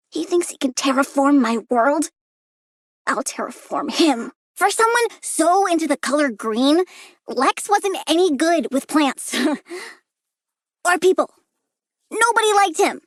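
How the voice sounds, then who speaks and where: A woman's voice: sits high, expressive, a little slow, pauses often, dry recording, studio quality. A woman playing a bratty, morbid young girl plant villainess in a video game, with a childlike, sassy manner. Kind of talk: video game character voice acting.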